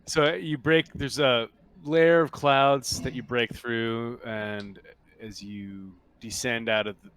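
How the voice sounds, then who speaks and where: A man improvising narration as the game master of a tabletop roleplaying game, haltingly searching for words. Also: medium pitch, steady tone, fairly bright tone, smooth.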